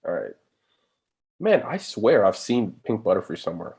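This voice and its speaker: Lower-voiced man, chatty stream co-host, restarts sentences a lot. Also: sing-song, normal speed, vocal fry, a little mellow, husky. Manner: chatty, stammers and restarts, reads chat questions. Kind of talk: casual live stream chat.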